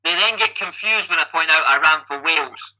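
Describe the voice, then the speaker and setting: Bright, sharp-voiced Scottish man in his fifties. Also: on the high side, big pitch swings, brisk, creaky, rough recording. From Scotland. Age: 50s. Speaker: podcast guest, amateur endurance athlete. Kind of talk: conversational life-story telling.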